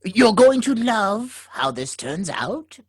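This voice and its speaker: A man's voice: sits high, big pitch swings, takes it slowly, a little hiss or hum, exaggerated voice. A man doing a meddling, sheepish ghost. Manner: hesitant, stammering, theatrical.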